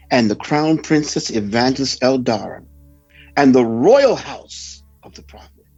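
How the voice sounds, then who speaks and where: A man's voice: a little high, highs cut off. A man reading a scripted sermon in a solemn, reverent, formal oratorical manner. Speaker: preacher reading a prophecy report.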